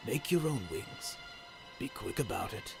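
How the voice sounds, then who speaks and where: A man's voice: on the low side, lively intonation, unhurried, creaky, loud music behind the voice, pitched below the performer's own voice. Man playing a cold, muttering old inventor. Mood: cold, soft menace. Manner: muttering, curt, grudging. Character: cold, bitter old male inventor, jealous uncle.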